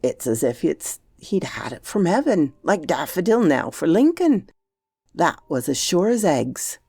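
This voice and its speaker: A woman's voice: on the low side, big pitch swings, unhurried, dark tone, breathy edge, no echo, soft background music, heavily acted. A woman voicing a loyal male servant, respectful and confiding, with a reverent manner, in a storybook reading.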